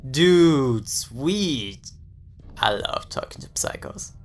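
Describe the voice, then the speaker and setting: A man playing a young American slacker friend in an audio story, sarcastic, slangy and excitable. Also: medium pitch, extremely slow. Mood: gleeful. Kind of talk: dramatized audio story dialogue.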